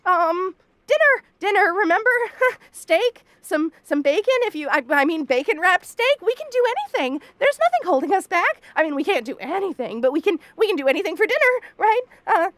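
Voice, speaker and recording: A woman's voice: very high voice, sing-song, brisk, a bit bright, no echo, heavily acted. A woman voicing a shy young bunny girl roommate in a romantic comedy audio roleplay, stammering and protesting in a flustered way. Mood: panicky, babbling.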